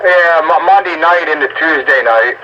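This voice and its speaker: A man's voice: a little high, normal speed. A man chatting casually with fellow radio operators. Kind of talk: casual two-way radio chat. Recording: muffled radio audio with faint static.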